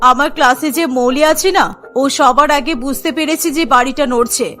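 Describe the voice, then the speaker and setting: A woman's voice: sits high, brisk, pushed and bright, faint music behind, voice pushed down. A woman voicing a caring, firm female village schoolteacher in a children's cartoon, protective of her pupils. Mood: worried. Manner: protective, scolding the children like a teacher.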